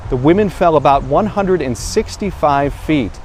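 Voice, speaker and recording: Higher-voiced American male news reporter. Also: expressive, moderate pace, a little hiss or hum.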